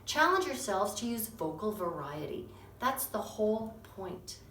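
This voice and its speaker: Casting: animated woman teacher, expressive and lively, in an echoing classroom, mic far away, audible noise behind. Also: medium pitch, unhurried. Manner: expressive, animated, with hushed emphasis. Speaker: teacher and narrator. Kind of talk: classroom instruction and storybook reading.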